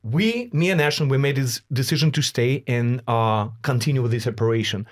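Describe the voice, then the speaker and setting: A man's voice: medium pitch, a little fast. A man who is a non-native English speaker, hosting a podcast with emphatic, dramatic, profane storytelling. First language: not English. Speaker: podcast host. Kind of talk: casual, profane podcast chat.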